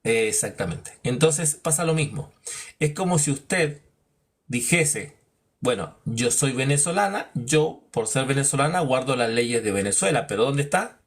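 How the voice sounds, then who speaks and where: A man's voice: lively intonation, a little slow, breathy edge. A man teaching a Bible lesson in a preaching style, asking rhetorical questions and acting out imagined dialogues. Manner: emphatic, drops low on verdicts.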